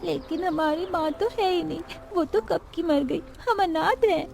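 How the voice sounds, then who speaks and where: Woman voicing a high-pitched, pleading orphan girl. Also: moderate pace, gravelly, a little mellow, slight background noise. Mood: plaintive. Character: poor orphan girls, earnest, plaintive young sisters. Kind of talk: Hindi moral-story cartoon dialogue.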